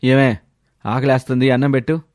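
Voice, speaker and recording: A man's voice: mid-range voice, level tone, fairly quick, darkish tone, dry recording, clean studio sound, lightly acted. Lazy, whining village husband played by a man. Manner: complaining, wheedling. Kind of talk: storybook character voice.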